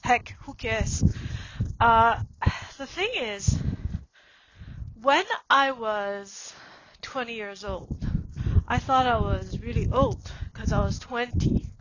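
Slow, musing woman in her forties. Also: on the high side, a bit bright, lo-fi sound, a little hiss or hum. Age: 40s. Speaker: podcast host. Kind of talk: reflective spoken podcast. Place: outdoors on a snowy city street, walking a dog.

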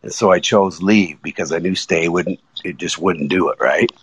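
A man's voice: deep voice, fairly bright tone, slightly rough, no echo. A man calling in to a casual chat on his phone, talking eagerly in stops and starts. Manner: enthusiastic, halting with restarts. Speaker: guest caller. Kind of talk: casual chat on a live audio app. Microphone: iPhone.

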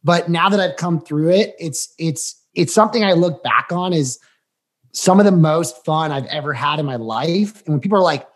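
A man's voice: fairly high pitch, normal speed. A man in his thirties co-hosting a casual interview, talking with nostalgic enthusiasm. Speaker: podcast co-host.

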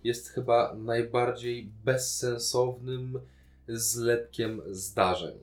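A man's voice: medium pitch, steady tone, very slow. A man, a podcast co-host casually discussing a TV series in a colloquial, opinionated way.

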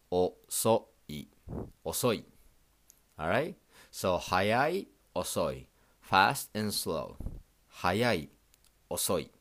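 Deep-voiced man teaching slowly. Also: fairly bright tone. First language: Japanese. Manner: repeats words slowly for learners, frequent "okay?" checks. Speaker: podcast host teaching Japanese. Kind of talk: casual language lesson.

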